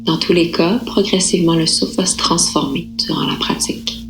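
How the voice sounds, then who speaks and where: A woman yoga nidra instructor guiding a relaxation meditation in a calm, unhurried, soothing manner with gentle pauses. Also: fairly low pitch, gravelly, over music, low-quality recording.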